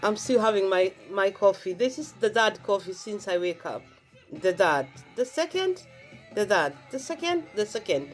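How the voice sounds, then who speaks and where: A woman's voice: mid-range voice, expressive, moderate pace. Kenyan woman, Swahili native, casual devotional vlog talk, faint music under her. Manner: repeats phrases, explains herself at length. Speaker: vlogger sharing faith. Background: faint gospel song playing.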